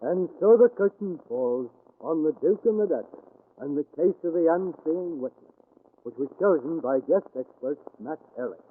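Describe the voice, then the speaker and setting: An actor playing a poised, formal mystery host, giving theatrical scripted narration in 1940s radio style. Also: male voice, on the high side, expressive, moderate pace. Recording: muffled, band-limited old radio recording.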